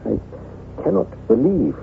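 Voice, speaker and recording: A man's voice: fairly high pitch, huge pitch swings, gravelly, heavily acted. Anguished nobleman on old radio. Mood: sorrowful. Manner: formal, grave, halting theatrical delivery. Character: anguished, haunted nobleman husband devoted to his ailing wife. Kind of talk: old-time radio drama acting. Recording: noisy, narrow-band vintage radio broadcast.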